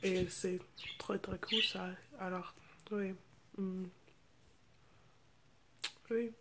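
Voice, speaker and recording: A young American woman, a native English speaker, chatting casually to camera and haltingly searching for words in a second language. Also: medium pitch, little pitch movement, fairly bright tone, slight background noise. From United States. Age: teens to young adult. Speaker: vlogger. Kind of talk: casual unscripted chat to camera.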